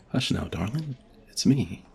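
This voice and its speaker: Very gravelly, deep male body-hopping spirit. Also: animated, slow pace, darkish tone, exaggerated voice. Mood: teasing. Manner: smug, playful, cryptic. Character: teasing, cryptic body-hopping spirit borrowing a policeman's body. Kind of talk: ASMR audio roleplay.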